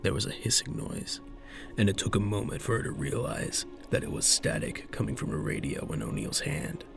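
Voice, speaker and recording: A man narrating a scripted science fiction audio drama in a measured storytelling manner. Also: lowish voice, big pitch swings, vocal fry, music in the background.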